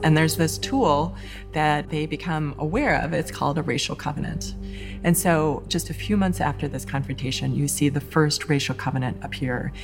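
Woman, music behind, very low creaky voice, explanatory documentary narration. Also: normal speed. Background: plucked string music.

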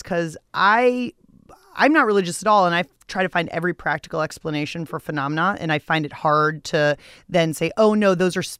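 A woman hosting a podcast in a playful, informal, animated way, moving between chatty scripted narration and casual interviewing. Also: on the low side, smooth, dry recording, clean studio sound.

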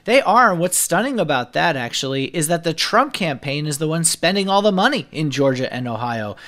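A man's voice: a little high, big pitch swings, a little fast, a bit bright. A man working as a senior political editor, explaining polls and campaign spending fluently and rattling off figures on a podcast.

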